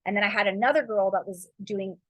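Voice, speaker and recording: A woman's voice: medium pitch, fast, no room sound, dull audio. A woman author giving casual, encouraging writing advice in a loose, rambling way, full of fillers and spiritual turns of phrase. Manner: loose, rambling, heavy with "like" and "you know".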